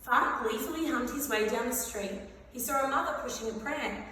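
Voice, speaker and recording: Female storybook reader for young children, high-pitched and expressive, heard from off in a reverberant room. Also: moderate pace. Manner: expressive, acts out dialogue. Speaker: preschool director reading a storybook aloud. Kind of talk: animated children's story reading. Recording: very echoey hall, distant mic, faint noise.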